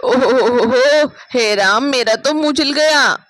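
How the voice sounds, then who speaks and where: A woman voicing a kindly, long-suffering older mother-in-law in a cartoon moral story, plaintive and gently admonishing. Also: sits high, takes it slowly, no echo, voice pushed down. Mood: distressed.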